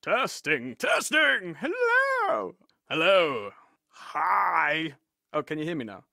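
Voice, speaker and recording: A man's voice: sits high, takes it slowly, creaky, no room sound. A man joking and testing the mic between takes in a studio voice session. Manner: playful, animated, mic-testing banter. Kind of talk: game voice session outtakes. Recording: dry studio.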